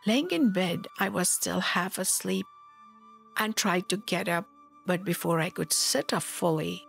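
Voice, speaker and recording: A woman narrates a memoir with slow, deliberate delivery. Her voice has a gravelly fry at the edges, and she keeps a solemn tone over a musical backing. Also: on the low side. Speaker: spiritual teacher, narrator. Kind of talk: scripted memoir narration, read aloud. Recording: music behind the voice.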